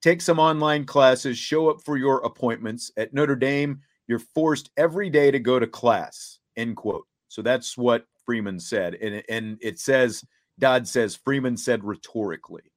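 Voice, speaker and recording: A man with a mid-range voice hosts a sports talk show. He reads and comments deliberately, setting out each point step by step. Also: medium pitch. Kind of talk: sports talk, reading quotes aloud and commenting.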